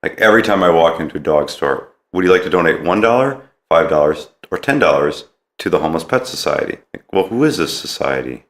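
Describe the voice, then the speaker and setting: Male podcast host, low voice, conversational interviewing, recorded in an echoey room. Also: expressive, quick pace, a bit bright. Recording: roomy, echoey sound.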